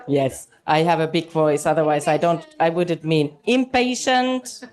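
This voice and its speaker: Slow, halting woman moderating a conference. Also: sits low. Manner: slow and halting, warm with the audience, checks in with the crowd. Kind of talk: explaining a plenary activity to a live audience. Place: large conference hall.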